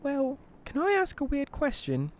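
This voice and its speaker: A man's voice: very high-pitched, wildly swooping pitch, measured pace, pitched above the performer's own voice, exaggerated voice. A man voicing a sweet, coy and pushy elderly English woman in a storytelling narration, cooing endearments. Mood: coy, flirty. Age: 70s.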